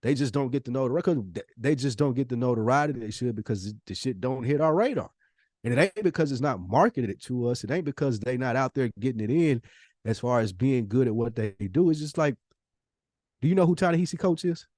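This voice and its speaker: An American man with a mid-pitched voice talks as a podcast co-host in casual conversation. He is emphatic and colloquial, making his case with plenty of slang. The sound is very dry, with no room echo. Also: animated, fairly quick, a little mellow.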